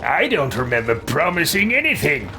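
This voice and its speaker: A man's voice: a little high, sing-song, moderate pace, over music. A man roleplaying an arrogant, cold wizard out for himself, delivering curt game dialogue. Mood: haughty, curt. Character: arrogant, cold, self-serving male wizard.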